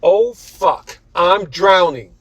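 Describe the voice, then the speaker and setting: Man acting out fussy male inventor, high voice, self-satisfied, scatterbrained, flustered, storybook character, dry sound. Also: animated, extremely slow, fairly bright tone, no room sound, full character voice. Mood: frantic.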